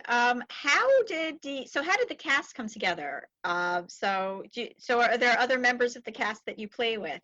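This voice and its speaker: A woman's voice: fairly high pitch, sharp tone, no echo, dull audio. A woman hosting a podcast, interviewing a guest in a casual, chatty style. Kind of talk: casual chat.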